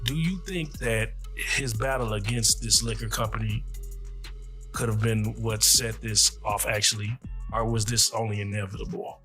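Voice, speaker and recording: Low, forceful-toned male interviewer. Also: steady tone, normal speed, soft background music. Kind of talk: conversational interview.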